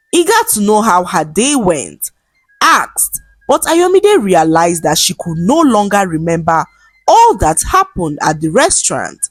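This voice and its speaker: A woman's voice: on the low side, husky, no echo. Female folktale narrator. Dramatic, very melodic delivery, dry close sound over faint music. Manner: expressive, dramatic storytelling delivery. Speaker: storyteller.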